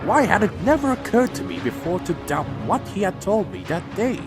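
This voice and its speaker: Casting: brooding male vampire warrior man acting, solemn audio drama narrator, very expressive pitch, music underneath. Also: a little fast. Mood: brooding, resolute. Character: proud male vampire warrior, loyal to his clan, brooding. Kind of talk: audio drama dialogue and first-person narration.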